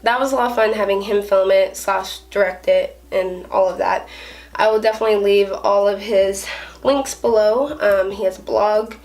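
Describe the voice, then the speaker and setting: A woman's voice: mid-range voice, fairly even intonation, moderate pace, a little mellow. Earnest young woman vlogging, slightly distant mic. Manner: earnest, chatty, with fillers and restarts. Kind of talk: casual vlog talk to camera.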